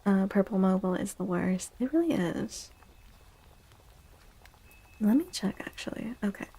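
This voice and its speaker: A woman livestream host speaking softly in an ASMR style, hushed and gentle, often slipping into a near-whisper close to the microphone. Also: medium pitch, lively intonation, unhurried. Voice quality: soft, breathy. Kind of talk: soft-spoken ASMR livestream chat.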